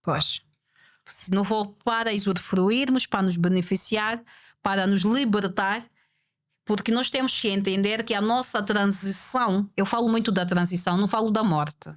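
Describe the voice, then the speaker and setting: A woman guest speaks in a reflective, measured way, with a voice of ordinary pitch for a woman. She stretches some phrases slowly, poses rhetorical questions and repeats her main points for emphasis. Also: fairly even intonation. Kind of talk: reflective podcast conversation.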